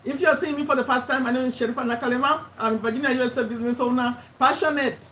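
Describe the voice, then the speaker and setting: A woman in her fifties hosts a live stream in a chatty, self-correcting way, laughing often. Her voice sits high. It is picked up from a distance in an echoey room with a faint hum behind it. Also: fairly even intonation, very fast, fairly bright tone. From Uganda. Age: 50s. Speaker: YouTube live host, business owner. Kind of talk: casual live-stream talk.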